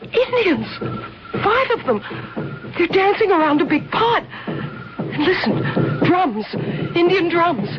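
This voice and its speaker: An earnest, anxious wife in a radio play, high-voiced and very animated. Her delivery is emphatic, on a muffled, noisy old recording. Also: slow pace, long pauses, heavily acted. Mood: terrified. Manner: emphatic, urgent. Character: earnest, anxious wife, insistent and easily alarmed. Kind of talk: radio drama acting.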